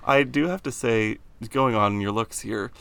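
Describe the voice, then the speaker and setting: A young man co-hosting a podcast, talking in a deep, slightly gravelly voice with a flat, deadpan, sarcastic delivery. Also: a little slow, a crowd in the background. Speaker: podcast co-host. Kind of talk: casual chat.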